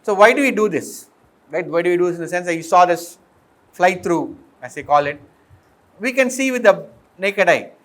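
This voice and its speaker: Higher-pitched Indian man lecturing. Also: animated, moderate pace, fairly bright tone. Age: middle-aged. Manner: explanatory, often posing questions and checking understanding. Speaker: professor, workshop lecturer. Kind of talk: informal technical lecture with questions to the class. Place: indoor lecture room with green chalkboard. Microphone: fixed or worn mic, voice drifting as he turns.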